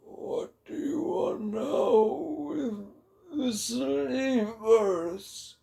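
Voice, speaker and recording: Storybook role: frail elderly holy hermit, thin quavering falsetto, very slow and halting. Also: male voice, gravelly, warm tone, echoey room, exaggerated voice. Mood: wondering. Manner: slow, drawn-out, halting delivery. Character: frail old hermit holy man of the forest. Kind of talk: dramatic philosophical storybook reading.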